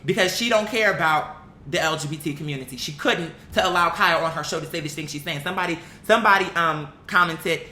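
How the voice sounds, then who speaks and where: Bright-voiced blunt young commentator, echoey room. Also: fast talker. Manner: blunt, exasperated, sweary, repeats for emphasis. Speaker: online commentator. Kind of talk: casual opinion rant, reading out comments. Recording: echoey room with faint rumbling noise.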